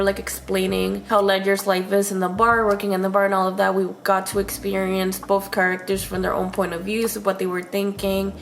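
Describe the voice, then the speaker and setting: A woman's voice: mid-range voice, a little hiss or hum. Congested woman chatting casually. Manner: chatty, with frequent "like" fillers. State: sick and congested. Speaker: book reviewer on her channel. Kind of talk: casual chat.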